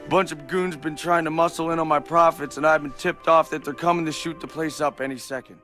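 Eager, highish young shopkeeper. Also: male voice, fairly even intonation, a little fast, pushed and bright, soft background music. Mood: worried. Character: slangy, eager young male shopkeeper under threat. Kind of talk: video game character acting.

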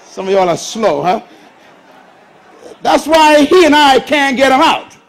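Bahamian male preacher, sixties, high voice, very lively delivery, stage lapel mic. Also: unhurried, vocal fry, a faint crowd, rough recording. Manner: lively preacher, shifts from loud bursts to hushed asides. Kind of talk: sermon. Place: large church auditorium stage with audience.

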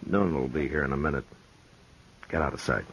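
Very deep-voiced frontier marshal. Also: male voice, husky, full character voice. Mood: firm. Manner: terse, unhurried, firm. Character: calm, commanding frontier marshal, weary and protective. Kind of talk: 1950s radio western acting. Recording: noisy, clicking 1950s radio recording.

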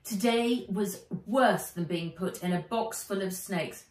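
A woman's voice: medium pitch, slow, darkish tone, lightly acted. A British woman voicing an upset, dramatic young schoolgirl in a storybook, exclaiming and pleading. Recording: roomy, a little distant from mic.

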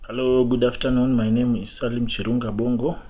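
A man's voice: mid-range voice, little pitch movement, a little fast, warm tone. A man in his thirties from Kenya, introducing himself and methodically listing his skills in a self-recorded video. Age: 30s. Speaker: job applicant. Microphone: phone at arm's length. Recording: slightly dull lo-fi audio.

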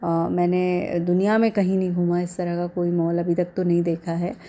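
Woman, Indian accent, lowish voice, very even intonation, halting delivery, casual vlog narration. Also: a little hiss or hum. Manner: hesitant, halting delivery with repeated filler phrases. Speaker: vlogger.

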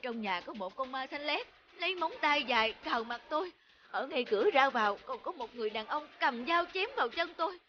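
A woman's voice: sits high, animated, fairly quick, dull audio, a little hiss or hum. A woman voicing a frightened male robber in a cartoon fairy tale. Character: frightened robber man telling of a haunted house.

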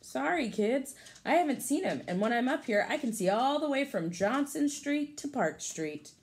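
A woman's voice: high-pitched, animated, moderate pace, pitched above the performer's own voice. A woman voicing an apologetic female utility worker calling down to children in a storybook. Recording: home recording with clear background noise.

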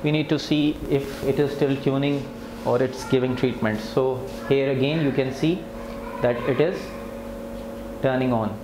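A man's voice: medium pitch, steady tone, a little slow. A man in his thirties giving an unscripted instructional demonstration, explaining plainly step by step. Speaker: physiotherapy instructor demonstrating equipment. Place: indoor clinic treatment room with tiled floor. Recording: clear background noise, camera in clinic room.

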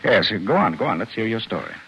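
An actor playing a brusque, probing doctor questioning a suspect in a 1940s radio drama. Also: male voice, on the low side, sing-song, quick pace, vocal fry. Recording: muffled vintage 1940s radio recording.

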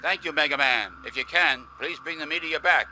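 A man voicing a grave, kindly elderly scientist mentor in an animated game, speaking in a measured, solemn way. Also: on the high side, a little hiss or hum, poor audio. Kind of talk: animated game cutscene dub.

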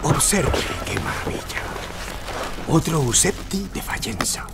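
An actor playing a flattering, unctuous Lebanese antiques dealer in an audio drama, speaking theatrically. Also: male voice, fairly high pitch, slow pace, over loud music. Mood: ingratiating. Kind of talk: Spanish audio drama acting.

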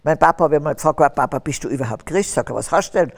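Older woman, fervent preacher type, gravelly tone, hall reverb. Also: sits low, very fast. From Austria. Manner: fervent, preaching, rising to emphatic bursts. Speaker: guest. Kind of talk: testimony interview on stage. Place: stage with curtains, large hall.

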